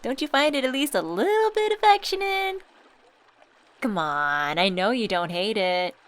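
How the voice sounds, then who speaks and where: A woman voice actor playing a supportive, gently teasing young best friend in a scripted ASMR roleplay, talking casually and intimately. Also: on the high side, big pitch swings, a little slow.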